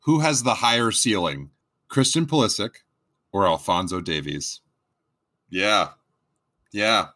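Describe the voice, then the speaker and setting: Husky animated man hosting a podcast. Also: lowish voice, unhurried, pauses often. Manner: energetic, jumps to new topics. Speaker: podcast host. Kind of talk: casual podcast chat. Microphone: remote internet call.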